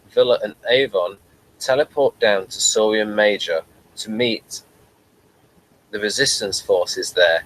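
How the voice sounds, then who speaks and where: Lower-pitched male review host, faint noise. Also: low-quality recording. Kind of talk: casual review, reading a synopsis.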